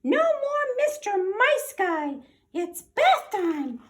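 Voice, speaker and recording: Woman as blustering mouse commander, male, squeaky, very high, loud, bossy, storybook voice. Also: sing-song, drawn-out words, exaggerated voice. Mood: defiant. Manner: shouting orders, exclamatory. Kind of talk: children's storybook read-aloud.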